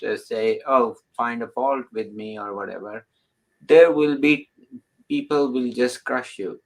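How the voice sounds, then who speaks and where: Man, host, interview, halting, lots of restarts, lowish voice. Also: fairly bright tone, rough recording. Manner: halting, with many restarts and filler words.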